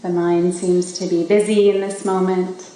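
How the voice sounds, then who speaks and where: A woman's voice: lowish voice, level tone. Woman guiding meditation in a very echoey room. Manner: slow, gentle instructions with long pauses. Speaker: meditation teacher leading a body scan. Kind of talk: guided meditation, spoken live to a group. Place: large indoor gathering space. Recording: very echoey room with faint background noise.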